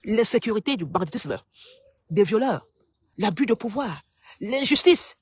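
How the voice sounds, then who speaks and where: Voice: mid-range voice, expressive, measured pace, husky, no echo. A speaker from Cameroon delivers a preachy, rhetorical political monologue to the public. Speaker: political commentator.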